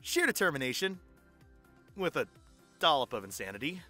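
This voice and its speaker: Woman playing bragging gamer pal, bright timbre, animated pitch, dry teasing, audio drama. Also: normal speed, faint music behind, full character voice. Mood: playful bragging. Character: bragging, snarky video-gamer friend at a party.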